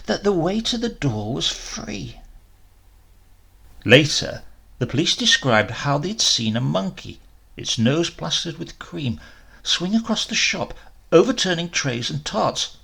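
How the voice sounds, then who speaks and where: A man reading a literary crime tale aloud as a dramatic, expressive narrator. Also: on the high side, gravelly, husky. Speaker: story reader.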